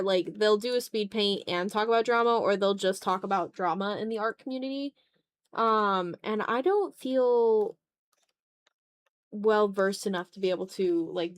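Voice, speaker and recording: A woman chatting casually while she draws on a livestream, rambling in a self-deprecating way. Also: medium pitch. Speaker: art livestreamer. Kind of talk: casual chat while drawing on stream.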